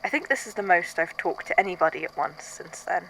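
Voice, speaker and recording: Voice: mid-range voice, animated, brisk, pushed and bright, a little hiss or hum, rough recording. A performer playing a weary, haunted archivist, speaking in a hesitant, introspective, conversational way in an audio drama monologue. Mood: haunted, reflective. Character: weary, haunted archivist recording statements of uncanny events. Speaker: voice actor in a fan fiction audio drama.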